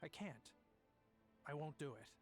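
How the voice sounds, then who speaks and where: A desperate, conflicted family man from a video game. His fairly high voice is earnest and strained, with faint music behind it. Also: lively intonation, moderate pace, a bit bright. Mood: anguished refusal.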